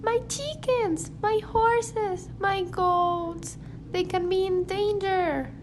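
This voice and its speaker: Worried farmer lady, played by a woman: very high voice, slow delivery, amateur radio play, noisy recording. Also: exaggerated voice. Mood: scared, worried. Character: worried farmer lady frightened for her killed goats. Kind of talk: amateur radio drama acting.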